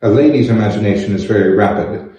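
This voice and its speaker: A man voicing a proud, reserved young gentleman with a formal, dry manner in a storybook. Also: fairly low pitch, fast talker, natural voice. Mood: aloof, composed. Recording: echoey room, slightly dull sound.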